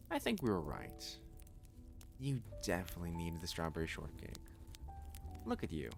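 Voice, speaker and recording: A man as a low-voiced, playfully doting catboy waiter. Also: exaggerated voice.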